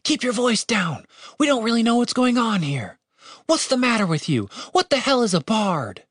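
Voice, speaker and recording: A man's voice: high voice, sing-song, normal speed, a bit bright, husky, dry recording, clean studio sound, pitched above the performer's own voice, full character voice. A man narrator voicing a bewildered, indignant young woman in a fantasy audiobook, argumentative and exasperated.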